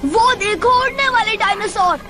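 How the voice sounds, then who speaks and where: A woman voicing an excitable, curious young girl in a Hindi cartoon dub, wide-eyed and exclaiming. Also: very high-pitched, moderate pace, music in the background. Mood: awed.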